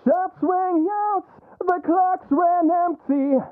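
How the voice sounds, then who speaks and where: A man's voice: extremely high pitch, steady tone, takes it slowly, no room sound, phone-quality sound. A man singing a rock lead vocal, enunciating sharply with pronounced sibilant S sounds. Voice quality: strong sibilance, crisply enunciated. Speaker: rock singer.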